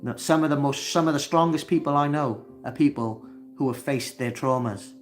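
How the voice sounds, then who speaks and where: A man with a Welsh accent from Wales, hosting a solo livestream, talking earnestly with frequent hesitations and restarts. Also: on the high side. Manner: earnest, halting, many fillers and restarts. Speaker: channel host. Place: indoors, seated facing camera.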